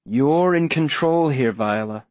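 A man playing a coldly menacing mayor in an audiobook, speaking in a measured, formal, falsely gentle way. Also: medium pitch, animated, dry recording, lo-fi sound, close to an everyday voice. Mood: feigned concern. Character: smooth-talking, coldly menacing male mayor interrogating a captive.

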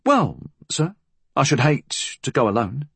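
A man's voice: medium pitch, big pitch swings, unhurried, gravelly, a bit bright, breathy edge, dry recording, voice pushed up, heavily acted. A man voicing a nervous, deferential police inspector in an audiobook, hesitant and formally polite. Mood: eager, polite.

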